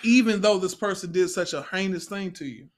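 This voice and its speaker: A man speaking in a heartfelt, conversational way as a panel guest, earnest and reflective, often pausing to restate his phrases. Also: high voice. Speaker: stage play actor, panel guest.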